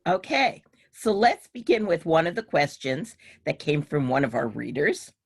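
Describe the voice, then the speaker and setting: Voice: medium pitch, sing-song, moderate pace, vocal fry, slightly rough, dry recording, clean studio sound. A book club moderator introducing a guest and asking questions in a plain, procedural way over a video call. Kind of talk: moderating an online meeting.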